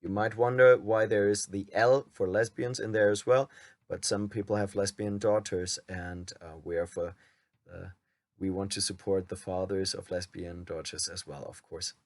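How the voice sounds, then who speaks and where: German man reading a script, low voice. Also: fairly even intonation, normal speed, dry recording. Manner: admits his reading sounds wooden. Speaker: channel host.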